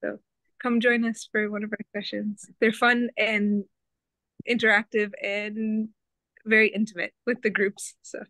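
A woman's voice: mid-range voice, little pitch movement, unhurried, a bit bright, no room sound, poor audio. A woman organizing a group, chatting cheerfully on a video call and laughing easily. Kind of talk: casual chat on video call.

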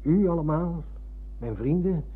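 An actor playing a modest, courteous and hesitant former pupil in a radio drama. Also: male voice, a little high, expressive, unhurried, breathy edge. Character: polite, diffident male former pupil on a visit. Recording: muffled, hissy old radio recording.

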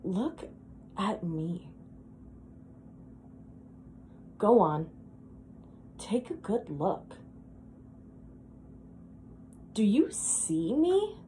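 A woman performing a dramatic monologue as a wistful, self-deprecating woman who longs to be beautiful, speaking in a halting, confessional, emphatic way. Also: medium pitch, very animated, dark tone, a little hiss or hum. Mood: wounded, bitter.

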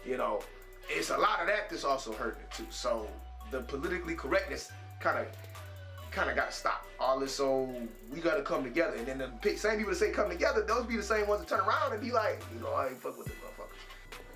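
Brief: emphatic man, mid-pitched voice, roomy echo, faint music under him. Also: lively intonation, fast talker, gravelly, bright, forceful tone. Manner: emphatic, repeats words. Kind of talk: documentary interview. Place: indoor room with posters.

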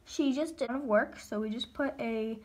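A woman giving a casual guided tour of her model horse barn, listing each horse in a steady run of "and then". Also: a little high, fairly quick. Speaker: model horse hobby vlogger. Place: indoors in a home room beside a toy horse barn.

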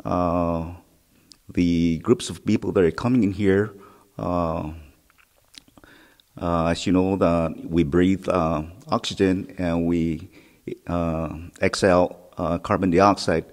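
Low-voiced middle-aged male guide, hesitant with lots of "uh", echoing cave. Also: steady tone. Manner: halting, many "uh" fillers. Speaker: historic preservation officer. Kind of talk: on-site interview. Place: inside a rock cave. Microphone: handheld mic held close. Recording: long cave reverb.

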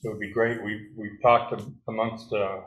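A man's voice: lowish voice, level tone, moderate pace, a bit bright. A man chairing a town board meeting, answering a speaker in a friendly, rambling way with restarts. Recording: echoey meeting room.

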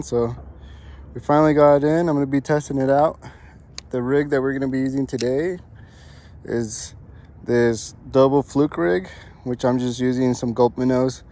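A young man casually narrating his fishing trip outdoors, relaxed and chatty as he explains what he is doing. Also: medium pitch. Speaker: fishing vlogger. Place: outdoors by a pond on a windy day. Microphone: camera-mounted mic, point-of-view and selfie framing. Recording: faint wind and outdoor noise.

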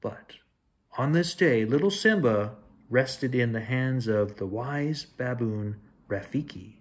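Man, forties, unhurried storyteller, animated pitch, expressive children's read-aloud. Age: 40s. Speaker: storyteller reading bedtime stories. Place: small home room with shelves, indoors.

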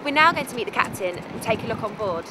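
Young female reporter, upbeat and lively, brisk pace; noisy surroundings. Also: a little high. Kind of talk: presenting and interviewing.

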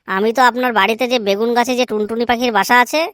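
A woman's voice: a little high, fairly even intonation, fast, a bit bright, slight background noise, lightly acted. A woman voicing a cheeky, crude village schoolboy in a comedy cartoon. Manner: cheeky, blunt excuse-making.

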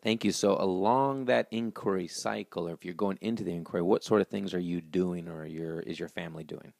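Man, 30s, deep, raspy, upbeat presenter, dry handheld mic. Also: brisk, darkish tone, no echo. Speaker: school host. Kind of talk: school announcement interview. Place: small school office, indoors.

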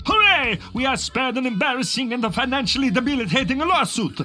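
A man's voice: very high-pitched, animated, brisk, pushed and bright, soft background music, exaggerated voice. A man voicing a pompous, squabbling circus acrobat brother with showy, theatrical delivery in a video game. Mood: relieved, hearty. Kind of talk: theatrical cartoonish game acting.

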